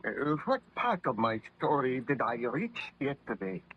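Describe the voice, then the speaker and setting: An actor playing a haunted, guilt-ridden baron scientist in a formal, earnest, melodramatic old radio horror style. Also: male voice, medium pitch, very animated, measured pace, breathy edge. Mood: anguished. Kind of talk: 1930s radio horror acting. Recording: muffled, noisy old radio recording.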